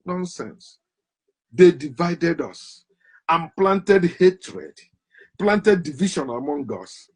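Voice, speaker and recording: A man giving a forceful, preaching monologue full of rhetorical questions, his loudness rising and falling sharply. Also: a little high, animated, slow, husky. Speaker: commentator.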